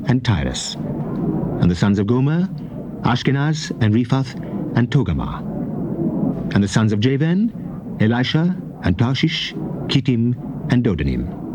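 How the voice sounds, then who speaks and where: A man narrating scripture as a scripted dramatic reading, reciting deliberately in short, measured phrases on an old cassette recording. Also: medium pitch, muffled recording. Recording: noisy old cassette tape transfer.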